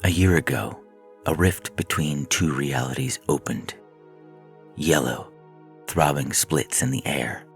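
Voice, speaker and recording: A man with a deep, husky voice reading a scripted science fiction story as narrator.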